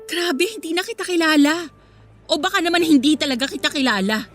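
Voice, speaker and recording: A woman's voice: high voice, expressive, brisk, a bit bright. A woman acting in a radio drama as a hurt, sarcastic young girlfriend confronting her cheating boyfriend. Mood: angry, incredulous. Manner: sarcastic, cutting. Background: faint background music.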